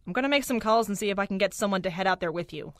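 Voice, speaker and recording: A man's voice: high-pitched, rapid-fire, dry recording, studio quality. A man as exasperated coworker Ned. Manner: deadpan, exasperated. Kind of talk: audio drama dialogue.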